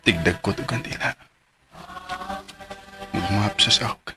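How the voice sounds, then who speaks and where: An actor playing a withdrawn young man who cleans a church, speaking haltingly. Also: on the low side, loud music behind the voice, close to an everyday voice. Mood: brooding. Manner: hesitant, halting. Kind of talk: radio drama acting.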